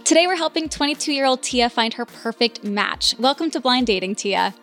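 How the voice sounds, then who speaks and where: Upbeat lady podcast host, kinda high voice, light music behind. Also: animated, normal speed, a bit bright. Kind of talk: scripted podcast hosting.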